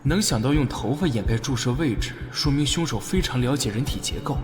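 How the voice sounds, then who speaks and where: A man's voice: medium pitch, animated, lightly acted. Echoing, serious male investigator played by an actor. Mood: grave, focused. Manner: deliberate, reasoning aloud. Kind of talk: animated drama deduction monologue. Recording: echoey, long reverb, music behind.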